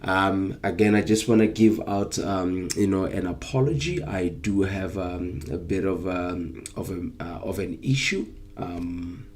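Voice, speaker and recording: A young man hosting a live trading stream, sick with flu so his voice is less clear, upbeat and hyping up his viewers with plenty of ums. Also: deep voice, fairly even intonation, a little slow, few pauses, darkish tone, a little hiss or hum. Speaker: trading session host.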